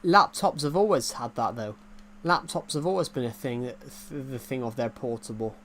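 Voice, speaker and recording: A man's voice: mid-range voice, moderate pace, a bit bright. A man in his twenties chatting casually on a game stream, rambling and repeating himself while answering chat from a desk mic. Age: 20s. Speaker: gaming streamer.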